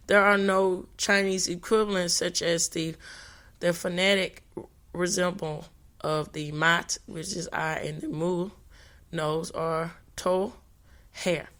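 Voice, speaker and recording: A woman's voice: on the low side, fairly bright tone. A woman podcast host, reading notes for a language talk, often stumbling and restarting on hard words. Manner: halting, with restarts on hard words and brief apologies.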